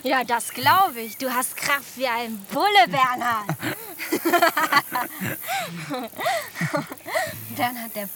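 Chatty dreamy teen girl for a radio play, highish voice, natural and halting, outdoors w crickets. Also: big pitch swings, sharp tone, full character voice. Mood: chatty, playful. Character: chatty, dreamy East German schoolgirl in her early teens. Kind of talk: naturalistic German radio drama acting. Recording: outdoor ambience with crickets, clear background noise.

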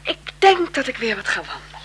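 A woman's voice: a little high, huge pitch swings, a little fast, slightly rough, full character voice, rough recording. An actress playing a haughty married aristocratic lady, secretly seductive and evasive, in a poised, languid 1970s radio drama style. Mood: cool, evasive.

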